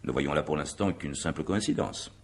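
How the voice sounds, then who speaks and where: A man playing a formal, suspicious examining magistrate in a French radio mystery play, with measured, pedantic and probing delivery. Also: rumbling low. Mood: dry, insistent. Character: methodical, suspicious male examining magistrate questioning a witness. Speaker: actor.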